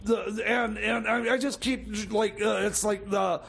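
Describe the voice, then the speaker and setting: A man's voice: sits high, fairly even intonation, a little slow, vocal fry, over music. A man playing a rambling, scatterbrained mechanic and home brewer in improvised radio comedy, stammering and losing his thread. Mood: flustered rambling. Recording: noisy recording.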